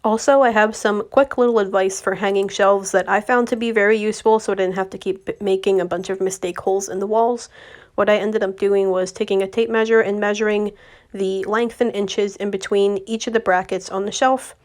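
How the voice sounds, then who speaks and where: Woman, young adult, slightly raspy from a cold, chatty vlog voice-over, friendly. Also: medium pitch, fairly even intonation, brisk. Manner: chatty, friendly, addresses viewers directly. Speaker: home decor vlogger. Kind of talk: casual voice-over narration.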